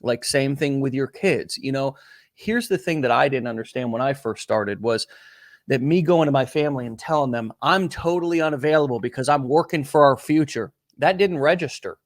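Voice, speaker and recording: A man's voice: mid-range voice, normal speed, dry recording, clean studio sound. A middle-aged man hosting a podcast interview, talking at length and often repeating words as he searches for his phrasing. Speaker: podcast host. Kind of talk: conversational interview.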